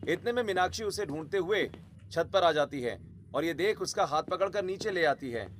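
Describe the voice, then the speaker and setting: A man's voice: on the high side, animated, moderate pace, a little hiss or hum. A man narrating a scripted Hindi story.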